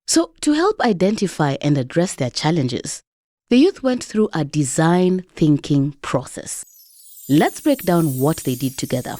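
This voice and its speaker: Low-pitched woman host with very animated delivery. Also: measured pace, no echo. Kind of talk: scripted documentary narration. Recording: music under narration. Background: background music.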